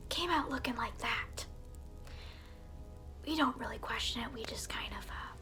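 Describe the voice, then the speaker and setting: A woman playing an evil alter ego who greets newcomers to hell, in a taunting, condescending ASMR roleplay. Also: fairly high pitch. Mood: sly teasing. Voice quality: whispery.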